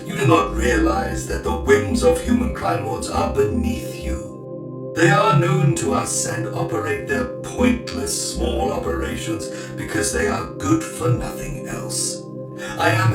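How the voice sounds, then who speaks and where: An imperious old machine-priest lord speaks in a breathy rasp with wildly swooping pitch. His condescending, pompous words ring through heavy echo in a science fiction audio drama, with loud music underneath. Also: huge pitch swings, slow pace, exaggerated voice. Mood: dismissive, commanding. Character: haughty elder male machine-priest lord, cold and dismissive.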